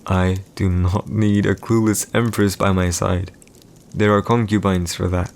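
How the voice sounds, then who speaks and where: A man's voice: low voice, level tone, unhurried, creaky, darkish tone. A man playing a haughty, teasing villain emperor in an ASMR audio roleplay, with formal, condescending, old-fashioned diction. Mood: stern, instructive.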